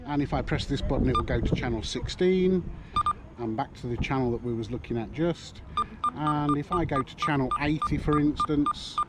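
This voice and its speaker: A man casually explaining a handheld marine radio, talking in a relaxed, halting way with many ums. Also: mid-range voice, loud music behind the voice, rough recording. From United Kingdom. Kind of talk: casual product demonstration. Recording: loud background noise.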